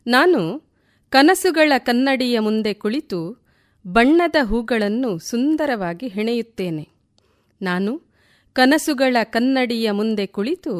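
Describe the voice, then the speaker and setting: A woman reciting poetry in a deliberate way, repeating lines for emphasis. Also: on the high side. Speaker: poet reciting on a radio programme.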